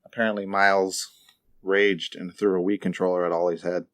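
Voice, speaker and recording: A man's voice: deep voice, level tone, moderate pace. A man co-hosting a podcast, throwing in short dry quips during casual chat. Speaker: podcast co-host.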